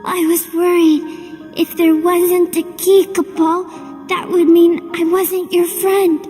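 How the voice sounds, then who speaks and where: A tiny, sweet moogle creature, with an extremely high, childlike voice and slow, earnest delivery. It is a brave, loyal little game companion, heard in long reverb over music. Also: female voice, little pitch movement, dark tone. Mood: fading, tender. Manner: cutesy, earnest, childlike speech. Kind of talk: video game cutscene acting.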